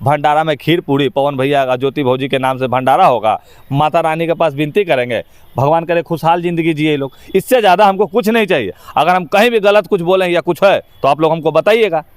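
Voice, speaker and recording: A man's voice: fairly high pitch, a little fast, clear, resonant tone, no echo. A man with a Bihari accent giving his opinions in an informal interview, speaking emphatically in colloquial, rustic phrasing. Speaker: interview guest, actor.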